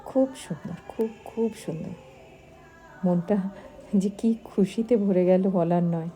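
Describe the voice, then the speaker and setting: A woman narrating a travel vlog in voice-over, speaking in short, unhurried phrases. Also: on the low side, mellow. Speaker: travel vlogger. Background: music.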